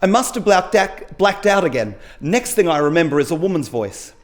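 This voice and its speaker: A man's voice: fairly high pitch, sing-song, moderate pace, voice pushed up. A man reading the part of an injured, vengeful cyclist in a story, emphatic and colloquial. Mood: recounting, shaken.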